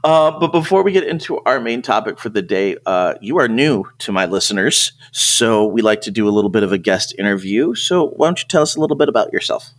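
A man's voice: fairly low pitch, animated, a little fast, hardly any pauses. A man hosting a podcast, asking questions in a casual, conversational interview style. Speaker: podcast host.

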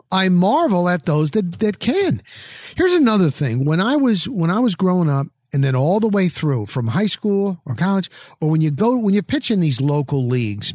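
A man's voice: on the high side, no room sound, studio quality. A middle-aged man in casual sports chat, rambling along and repeating words for emphasis. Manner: chatty, rambling, emphatic repetition. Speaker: sports broadcaster.

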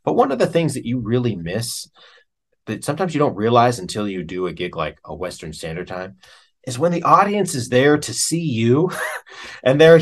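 An American man talking as an interview guest, a working musician speaking in a casual, reflective and candid way, often informal. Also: medium pitch. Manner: informal and candid, with frequent "man" and "you know".

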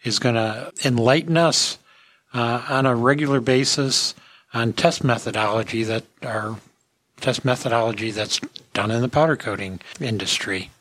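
Folksy male podcast host, medium pitch. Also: normal speed, a bit bright. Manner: folksy, addressing listeners as friends. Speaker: podcast host and industry commentator. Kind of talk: casual commentary and chat.

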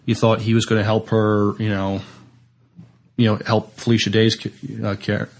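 A man co-hosting a podcast, talking casually about TV in halting, broken phrases. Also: fairly low pitch, monotone. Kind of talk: casual TV discussion.

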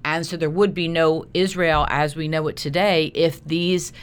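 A woman's voice: deep voice, fairly even intonation, moderate pace, clear, resonant tone. A woman speaking as an interview guest, telling anecdotes casually with asides and self-corrections. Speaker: interview guest, documentary producer.

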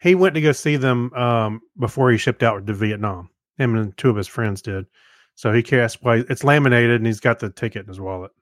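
A man's voice: medium pitch, fairly quick, no room sound, clean studio sound. A man co-hosting a podcast, giving informal opinions in a rambling, hesitant, conversational way. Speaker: podcast co-host. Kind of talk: casual chat, informal reviews.

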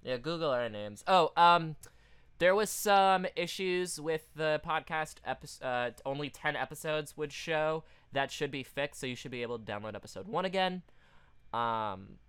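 A man with a forward, bright voice in a very dry recording. He talks like a podcast co-host in relaxed conversation, loose and informal, with plenty of swearing. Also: mid-range voice, moderate pace, clean tone, no echo. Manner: casual, frequently swearing. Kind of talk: casual podcast chat.

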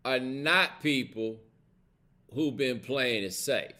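A man's voice: mid-range voice, slow pace, pushed and bright. An American man hosting a casual, opinionated livestream, talking bluntly and colloquially with emphasis and checking in with his listeners. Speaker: host of a live commentary show.

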